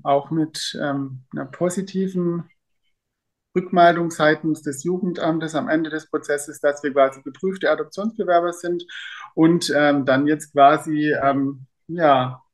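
Guest, male, late 30s, higher voice, halting answers full of ums. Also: fairly even intonation. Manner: hesitant, many ums and restarts. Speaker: interview guest, father. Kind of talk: casual interview answers.

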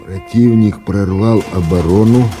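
A man's voice: a little slow, music in the background, lightly acted. An actor playing a stern, ruthless male general who gives harsh orders, in a stage play audio recording. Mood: commanding. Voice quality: low, husky, commanding.

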